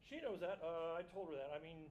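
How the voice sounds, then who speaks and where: A man's voice: lively intonation, fast, darkish tone, lightly acted. A man playing a whiny, hapless, scheming husband in a comic stage dramatization, peevish and self-justifying, with a stammer in his delivery. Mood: defensive. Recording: live stage recording with rumbling background noise.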